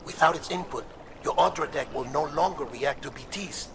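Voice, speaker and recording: An actor playing a brisk male support officer giving field warnings in a video game. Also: a little high, noisy background, natural voice. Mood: alert, cautioning. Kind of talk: video game dramatic dialogue.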